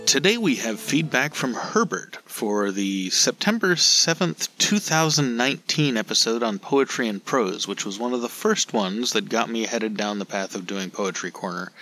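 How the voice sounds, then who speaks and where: A man's voice: fairly low pitch, sharp tone, rough recording. A man hosting a podcast in a conversational commentary style. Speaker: podcast host and novelist.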